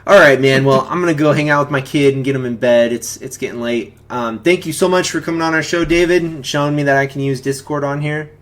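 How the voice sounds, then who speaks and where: A man's voice: a little high, quick pace, few pauses. Casual, rambling male stream host. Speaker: host of a movie commentary live stream. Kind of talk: casual chat to viewers and caller.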